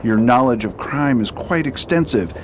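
A man playing a shrewd, secretive detective in a radio drama, brisk and authoritative. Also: mid-range voice, lively intonation, noisy background, natural voice. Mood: commanding. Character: shrewd, confident male detective, secretive and commanding. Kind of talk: amateur radio drama acting.

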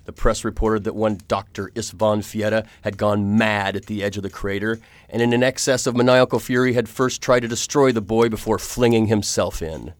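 An actor playing an earnest, determined gentleman hero in a radio drama, speaking gravely and formally. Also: lowish voice, steady tone, moderate pace, few pauses, no room sound, close to an everyday voice. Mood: reflective. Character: earnest, determined gentleman hero recounting his perilous adventure. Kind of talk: radio drama narration and acting.

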